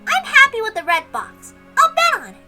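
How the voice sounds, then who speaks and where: A woman voicing an excitable, stubborn little girl in a visual novel read-aloud. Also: extremely high pitch, moderate pace, faint music behind, voice pushed up, heavily acted.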